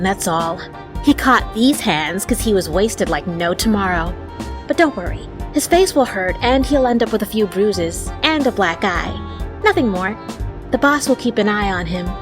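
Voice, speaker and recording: A woman's voice: mid-range voice, close to an everyday voice. A woman acting a cheeky, upbeat young bouncer in an audio drama, casual, teasing and slangy. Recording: music behind the voice. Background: background music.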